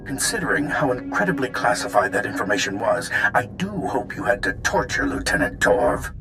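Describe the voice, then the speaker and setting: A man's voice: medium pitch, very animated, moderate pace, background noise. An actor playing a smug secret-service agent in a video game, sarcastic and condescending in his delivery.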